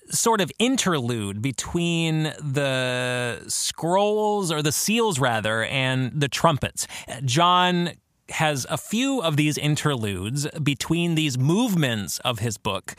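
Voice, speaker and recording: A man hosting a podcast, explaining theology in an informal monologue with a halting, thoughtful delivery and emphasis on key words. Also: fairly high pitch, a bit bright, no echo, clean studio sound.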